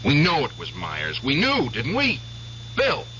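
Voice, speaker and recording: An actor in a radio drama playing a haunted ex-prisoner of war bent on revenge, insistent and emphatic. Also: male voice, on the high side, huge pitch swings, measured pace. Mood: grimly determined. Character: haunted, vengeful ex-prisoner of war, obsessed with killing. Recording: dull, hissy, humming old radio broadcast.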